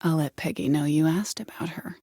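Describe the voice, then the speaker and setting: Menacing, vengeful mother, low voice. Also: dark tone, no echo, clean studio sound. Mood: cold menace. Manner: commanding, deliberate, threatening. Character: vengeful bitter mother, menacing and coldly controlled villain. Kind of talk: dramatic video game voice acting.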